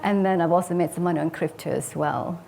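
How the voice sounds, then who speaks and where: A woman's voice: on the low side, fast, creaky, warm tone. A woman who grew up in Sweden, a property investor, giving a personal case study talk in an earnest, reflective way with frequent hesitant fillers.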